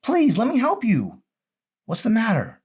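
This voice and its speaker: A man's voice: very high voice, huge pitch swings, measured pace, darkish tone, husky, pitched above the performer's own voice, exaggerated voice. A man voicing a frightened but loving teenage grandson in an audiobook, pleading in an urgent, strained way.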